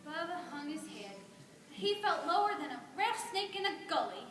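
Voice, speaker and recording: A woman narrates a scripted story for reader's theater in a very high voice. She sounds distant from the microphone, in an echoey room with clear background noise. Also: sing-song, normal speed, fairly bright tone.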